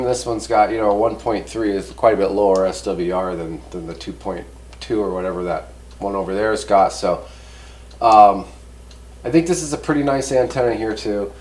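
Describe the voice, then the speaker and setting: A man's voice: fairly low pitch, steady tone, brisk, darkish tone. Man, casual reviewer, easygoing and folksy, roomy echo, distant mic, faint background noise. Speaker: host of a product review channel. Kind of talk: casual hands-on product review monologue.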